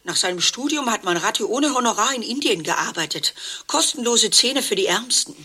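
An actress playing an agitated, garrulous wife in a satirical radio play, rambling indignantly and breaking off mid-sentence. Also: female voice, a little high, animated, moderate pace, bright, forceful tone, breathy edge, full character voice. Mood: reminiscing.

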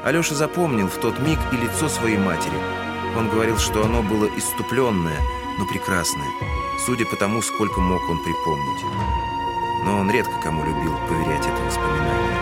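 A man narrating a literary audio drama in a measured, solemn and expressive manner. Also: on the low side. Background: prominent music.

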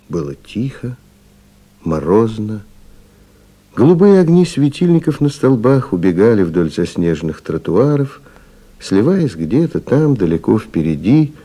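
Male literary narrator, low mellow voice, expressive intonation, reflective, measured. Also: breathy edge. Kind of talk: literary radio play narration.

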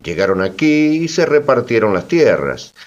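A man playing an indignant, sardonic storyteller in radio theatre, talking conversationally with expressive irony. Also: mid-range voice, normal speed, lo-fi sound, natural voice. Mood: wry storytelling. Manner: expressive, ironic, mimicking orders. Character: indignant, sardonic male storyteller recounting colonial exploitation.

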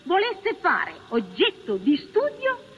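High, animated older woman, dull recording. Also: takes it slowly. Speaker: former partisan speaking at a meeting. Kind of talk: impromptu public speech. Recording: dull, slightly noisy archive recording.

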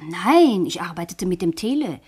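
An actress playing a forthright young woman photographer giving a statement in a 1960s radio crime play, husky and matter-of-fact. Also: lowish voice, lively intonation, moderate pace, a little mellow. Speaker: radio drama actor.

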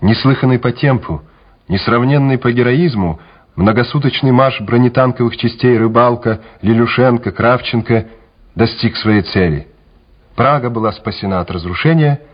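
A man's voice: mid-range voice, breathy edge. A man narrating a wartime radio drama in a scripted, dramatic style. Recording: slightly dull radio recording with music. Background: music.

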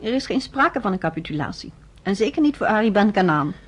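An actress playing an earnest, resolute woman in a radio drama, speaking in a measured way. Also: mid-range voice, very animated, breathy edge. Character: earnest, resolute grown woman who has chosen a side.

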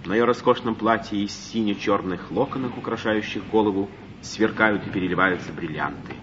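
A man narrates a stage play in scripted, descriptive prose. His voice is on the low side. The old radio recording is muffled, with clear noise. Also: brisk. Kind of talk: scripted scene-setting narration. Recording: muffled, noisy 1950s radio theatre broadcast.

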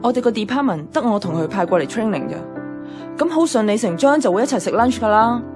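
A woman's voice: on the high side, lively intonation, quick pace, close to an everyday voice. Actress doing young hong kong cantonese woman, single and self-mocking, radio drama monologue over music. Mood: dejected. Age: young adult.

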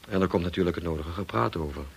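An actor playing a tormented, wealthy husband in a radio drama, grave and intense. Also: male voice, sits low, rapid-fire, vocal fry, breathy edge, full character voice. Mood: weary, resigned. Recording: noisy old radio play recording.

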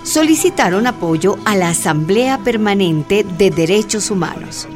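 A woman plays a female storyteller, narrating a radio drama. Her pitch sits in the middle of the range, and music runs clearly behind her. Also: lively intonation, unhurried, close to an everyday voice. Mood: narrative. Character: female storyteller recounting events plainly.

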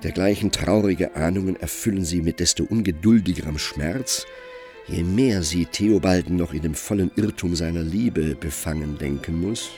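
A man narrating a literary radio play in a measured, grave storytelling manner. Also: deep voice, a little mellow, faint music behind.

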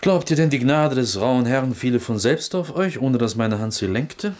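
An actor playing a scheming, corrupt court minister in a radio play, with a sly, insinuating manner. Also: male voice, medium pitch, lively intonation, normal speed, darkish tone, close to an everyday voice.

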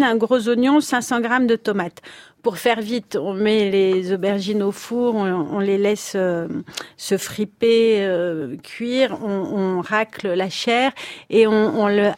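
A woman's voice: medium pitch, a little mellow, dry recording. A woman guest on a radio show, explaining with hesitant repetitions and step-by-step detail. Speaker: author and guest. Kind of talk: radio interview. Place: radio studio. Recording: dry studio sound.

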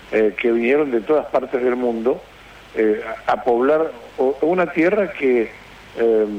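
A man with an Argentine accent chatting casually as a radio host, speaking haltingly as he searches for words. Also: mid-range voice. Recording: dull radio sound with hiss.

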